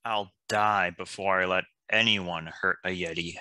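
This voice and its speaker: A man playing a gruff, guarded, fiercely protective adventurer, blunt and intense, in an animated fantasy table read. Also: sits low, measured pace, no echo, exaggerated voice. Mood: defiant, guarded.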